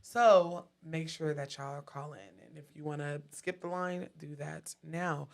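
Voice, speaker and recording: A woman co-hosts a live call-in show. Her voice is fairly deep and her talk is relaxed and full of laughter. She speaks straight to her viewers, warning and joking with them. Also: a little slow, vocal fry. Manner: laid-back, laughing, talks straight to viewers. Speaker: co-host. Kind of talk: casual call-in show chat.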